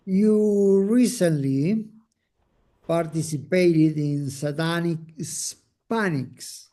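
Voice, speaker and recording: A man interpreting questions into English in a slow, deliberate, measured delivery. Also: fairly high pitch, warm tone. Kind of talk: measured spoken translation.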